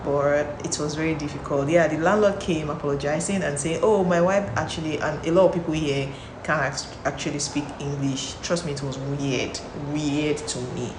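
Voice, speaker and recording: A woman's voice: low voice, steady tone, normal speed. A young woman with a Nigerian accent, chatting to camera in a vlog, talking freely with lots of restarts and repeated words. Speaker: vlogger. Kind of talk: casual talk to camera. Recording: echoey room, faint background noise.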